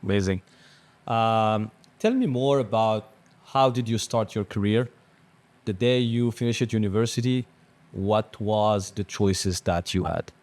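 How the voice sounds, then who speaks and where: A man's voice: on the low side, measured pace, darkish tone. Male podcast host, conversational delivery. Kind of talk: casual podcast interview.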